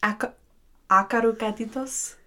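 A young woman co-hosting a casual podcast chat, animated and quick to laugh. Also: fairly high pitch. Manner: laughs often, animated storytelling. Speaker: podcast co-host.

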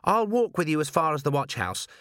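A man's voice: on the high side, big pitch swings, a little fast, fairly bright tone, dry recording, studio quality, pitched above the performer's own voice. A man voicing a shy, nervous young female dwarf constable who is awkwardly polite and stammers hesitantly in a storybook reading. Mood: shy concern. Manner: hesitant, stammering, fumbling over words.

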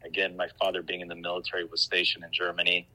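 A middle-aged man with an American accent, telling his story as a podcast guest in an explanatory, measured way. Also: low voice, monotone, pushed and bright, rough recording. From Greece. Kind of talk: informal interview storytelling.

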